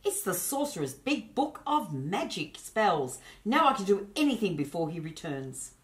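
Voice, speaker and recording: A woman's voice: mid-range voice, big pitch swings, moderate pace, pitched above the performer's own voice, close to an everyday voice. Woman voicing an earnest young storybook apprentice boy. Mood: delighted. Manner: earnest, wide-eyed. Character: eager young boy apprentice, longing for adventure.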